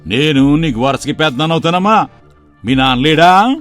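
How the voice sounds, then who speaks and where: Man acting a sly middle-aged village uncle, scheming, sugary, rustic folksy speech, slightly high voice, story drama, faint music. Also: expressive, normal speed, fairly bright tone. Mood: puzzled.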